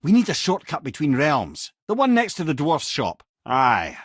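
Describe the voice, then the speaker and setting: A man's voice: on the high side, normal speed, husky, no room sound, clean studio sound, heavily acted. An actor playing a wise, talkative older male sage in a video game, with a Scottish accent and a wry, storytelling manner. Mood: helpful, brisk. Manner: expressive, wry, storytelling with colloquial lilt.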